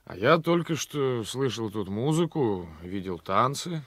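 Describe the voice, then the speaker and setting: A man's voice: mid-range voice, lively intonation, a little slow, no echo. An actor playing a proud, swaggering village guardsman with a taunting manner in a radio play. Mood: genial, taunting. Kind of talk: Soviet radio play acting.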